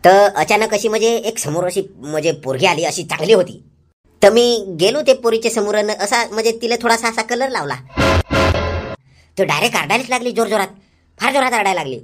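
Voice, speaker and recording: A man's voice: high-pitched, a little fast, close to an everyday voice. A man voicing a battered, sheepish young village man in a comic cartoon, rambling and repeating himself in a rural Palghar Marathi accent. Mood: sheepish recounting. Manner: rambling, repetitive storytelling. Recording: loud music behind the voice.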